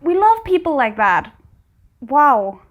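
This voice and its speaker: A woman giving casual, chatty, expressive reaction commentary on a TV show, often breaking off mid-thought. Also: sits high, slow, narrow, tinny audio. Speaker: reaction host.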